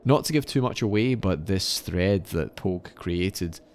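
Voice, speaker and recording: Low, gravelly male podcast narrator. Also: animated, unhurried, darkish tone. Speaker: podcast host. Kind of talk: semi-scripted storytelling narration.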